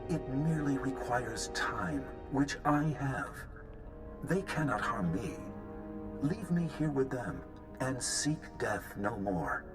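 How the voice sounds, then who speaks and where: A wise, patient creature over music. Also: male voice, mid-range voice, expressive. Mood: patient, grave. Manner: measured, formal. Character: wise patient male mutant creature, gentle Jedi teacher. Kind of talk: video game cutscene acting. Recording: music behind the voice.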